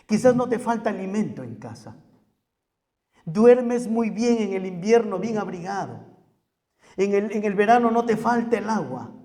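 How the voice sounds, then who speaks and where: A man's voice: high voice, expressive, moderate pace, warm tone. A man with a Peruvian Spanish accent preaching as a pastor, repeating phrases for emphasis and putting questions to his congregation. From Peru. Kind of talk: sermon. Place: church hall. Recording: long hall reverb.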